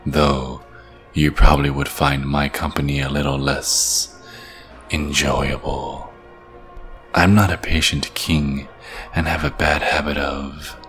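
An actor playing an ancient, menacing and seductive vampire king who taunts slowly in an ASMR roleplay. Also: very deep voice, fairly bright tone, heavily acted. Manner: slow, taunting, purring menace.

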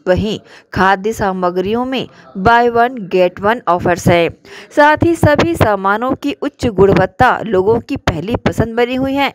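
A woman's voice: mid-range voice, unhurried, poor audio. A woman reporter reading a scripted local news voice-over in a formal, promotional manner.

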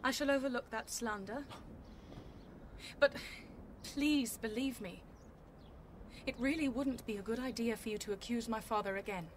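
An actress plays a guarded, formal lady in a radio drama. The woman speaks firmly and politely in a fairly high voice, with faint noise behind. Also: natural voice. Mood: icy, warning. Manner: measured, polite, firm.

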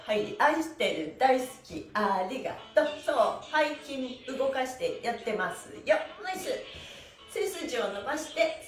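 Woman, middle-aged, exercise instructor. High, upbeat, encouraging delivery, recorded with room echo. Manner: cheerful, animated, encouraging, often laughing. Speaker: stretch class instructor and host. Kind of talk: live online exercise lesson, counting and chatting to participants. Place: small room indoors, on a mat on the floor. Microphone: camera a few steps away. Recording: roomy echo.

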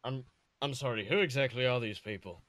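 Bewildered pirate captain, played by a male reader, hesitant and questioning, medium pitch, dry recording, comic storytelling. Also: a little fast, fairly bright tone, no room sound, lightly acted. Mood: puzzled. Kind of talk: comedic fan fiction reading.

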